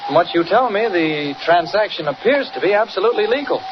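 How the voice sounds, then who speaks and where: Through a muffled, very noisy old radio recording comes an earnest American newsman. His voice sits high for a man. He speaks in the hesitant, well-meaning way of a mild-mannered 1940s serial hero. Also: animated, fairly quick. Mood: reassuring, hesitant. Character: earnest mild-mannered male newspaper reporter, anxious but reassuring.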